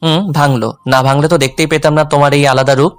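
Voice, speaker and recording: Casting: male actor as young husband in romance audio drama, lightly high voice, jealous and playful, soft music bed. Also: fairly even intonation, quick pace, close to an everyday voice. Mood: jealous, hurt. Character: jealous, teasing young husband narrating his love story. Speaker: narrator and male lead of an audio love story.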